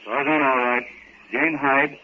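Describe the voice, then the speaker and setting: A man's voice: mid-range voice, little pitch movement, measured pace, close to an everyday voice. An actor playing a stoic jungle-raised wild man in a 1930s radio serial, blunt and commanding in broken English. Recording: dull, hissy 1930s radio recording.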